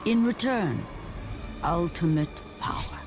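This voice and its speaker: A performer narrating an ancient myth for a video game as a solemn, portentous storyteller with measured delivery. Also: female voice, fairly low pitch, expressive. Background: loud dramatic music.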